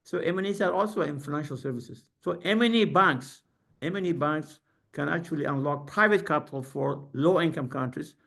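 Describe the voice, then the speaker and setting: A man giving academic panel remarks on a video call, halting with many fillers and restarts. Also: fairly high pitch, creaky, fairly bright tone.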